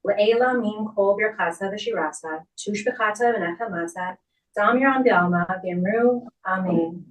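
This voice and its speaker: A woman officiant speaks formally at a service in a mid-range voice. Her delivery tends to be quick, and the recording is roomy and slightly distant. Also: mid-range voice. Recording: roomy echo, distant microphone.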